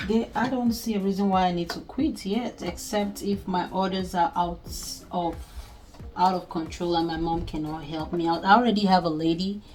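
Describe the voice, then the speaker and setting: A woman's voice: fairly low pitch, darkish tone. A woman in her thirties with a Nigerian accent, casually talking through a sewing project step by step and thinking aloud as she works. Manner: chatty, thinking aloud while working. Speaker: sewing tutorial host. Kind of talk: casual step-by-step crafting tutorial.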